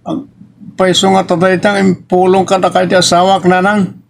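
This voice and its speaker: A man's voice: high-pitched, completely flat delivery, normal speed, vocal fry. A man with an Ilocos accent playing an aggrieved married son who questions his parents insistently in a drama. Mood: troubled. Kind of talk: Ilocano audio drama acting.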